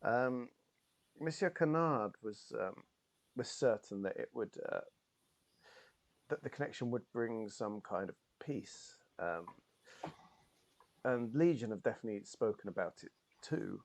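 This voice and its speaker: A man voicing a polite young male scholar who pauses often and speaks with many fillers. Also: lowish voice, expressive, vocal fry. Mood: musing. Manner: hesitant, many ums.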